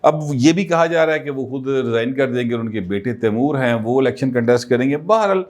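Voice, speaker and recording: Man, political commentary, chatty, lots of um pauses, mid pitch. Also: a little mellow. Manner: conversational, many filler pauses.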